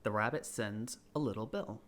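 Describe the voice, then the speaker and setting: A man's voice: on the low side, moderate pace, a bit bright. A man narrating a children's classic as a scripted audiobook.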